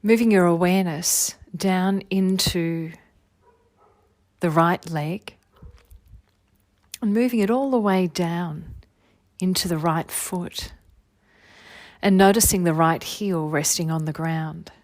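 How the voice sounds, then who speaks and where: A woman leading a guided meditation as a teacher, giving gentle, soothing body-scan instructions in an unhurried way. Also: on the low side, dry recording. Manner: soothing, unhurried, gentle instruction with long phrases trailing softly.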